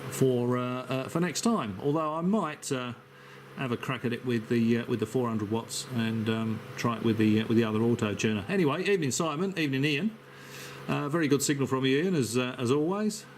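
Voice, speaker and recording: A man who works as an amateur radio operator, chatting casually on a net in halting, hesitant phrases. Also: mid-range voice. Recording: faint hiss and radio noise.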